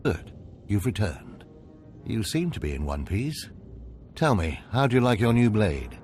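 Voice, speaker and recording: Stern, low-voiced older Sith overseer. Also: male voice, very animated, darkish tone, faint music behind. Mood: stern, controlled. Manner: commanding, measured, formal. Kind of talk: video game voice acting.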